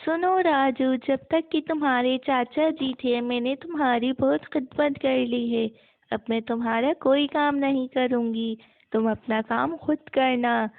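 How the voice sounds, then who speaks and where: A woman's voice: high-pitched, steady tone, unhurried. A woman voicing a spiteful, resentful aunt in a cartoon village moral tale, with a scolding manner. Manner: scolding, gloating. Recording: muffled, narrow phone-like sound.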